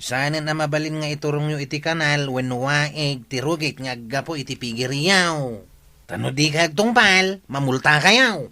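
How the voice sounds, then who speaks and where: A firm village councilor with an Ilocano accent. His voice is fairly high and forceful, delivered as radio drama dialogue. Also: moderate pace. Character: firm male village councilor explaining the rules.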